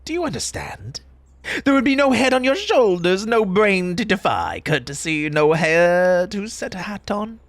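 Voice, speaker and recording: A man playing an eccentric, fussy tea-party host in an audio roleplay, pompous and formal in old-fashioned diction, with a breathy, husky voice and an undertone of grief. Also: high voice, very animated, normal speed, dry recording. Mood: threatening, agitated. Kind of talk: theatrical character audio roleplay.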